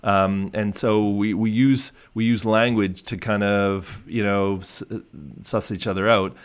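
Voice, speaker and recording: A man's voice: lowish voice, steady tone, unhurried, clear, resonant tone. A man working as a coach, talking in a casual conversational discussion, reflective and full of restarts and fillers. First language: English.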